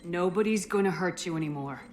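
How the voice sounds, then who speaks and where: An actress playing a composed, compassionate military commander in a video game, calm, direct and authoritative. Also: female voice, fairly low pitch, brisk, a little hiss or hum, close to an everyday voice. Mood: gentle, probing.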